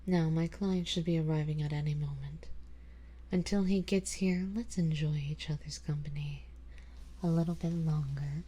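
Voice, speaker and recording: A woman playing an ancient, wealthy female vampire in an ASMR roleplay, speaking softly and intimately in formal, measured words, composed and quietly possessive. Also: deep voice, steady tone. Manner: slow, soft, intimate, breathy delivery drifting into whispers. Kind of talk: ASMR roleplay audio drama, addressing the listener.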